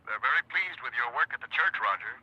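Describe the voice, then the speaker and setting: Sharp, forceful fatherly parole officer. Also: medium pitch, animated, quick pace, breathy edge, exaggerated voice. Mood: fatherly, warning. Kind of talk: 1950s radio drama acting. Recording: muffled vintage radio sound.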